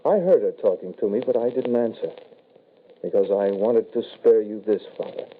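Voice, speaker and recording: An actor playing a grave, regretful young soldier turned werewolf in a halting, somber 1940s radio horror style. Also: male voice, on the low side, close to an everyday voice. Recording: noisy, tinny old-time radio recording.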